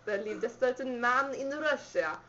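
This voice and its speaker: Brief: hammy fake-Russian teller, a woman doing it, high-ish, accent sliding to Italian. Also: animated, moderate pace, fairly bright tone, muffled recording, a little hiss or hum. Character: storyteller in a clumsy mock-Russian accent.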